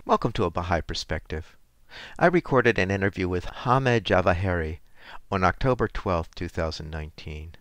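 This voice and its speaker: A man hosting a community radio interview show, reading a measured, scripted introduction before questioning his guest. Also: deep voice, animated, a bit bright, soft background music.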